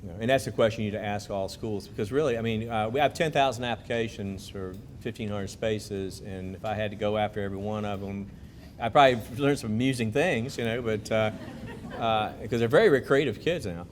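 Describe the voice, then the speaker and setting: A man's voice: fairly low pitch, brisk, a little hiss or hum. A man, a college admissions officer, giving a conversational talk to parents and taking their questions. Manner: conversational, rhetorical questions to the audience. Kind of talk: presentation to parents with Q&A. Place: school event hall.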